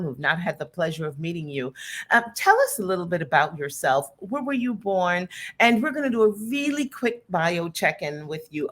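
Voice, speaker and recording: A woman hosting an interview talk show, speaking earnestly and with animation. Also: fairly low pitch. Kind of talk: hosted interview chat.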